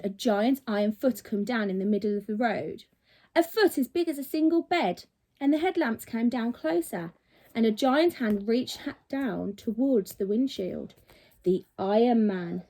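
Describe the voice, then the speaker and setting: A woman reading a children's storybook aloud in an animated, dramatic, teacherly way. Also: fairly high pitch. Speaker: primary school teacher.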